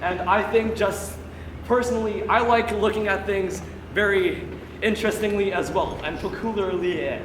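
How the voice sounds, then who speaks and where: Distant, very noisy hall recording; high-pitched young male student director, jokey and rambling, introducing a play casually. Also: moderate pace. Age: young adult. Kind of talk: casual live stage introduction. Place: school stage, recorded from the audience. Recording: loud noise, echoey hall, distant mic.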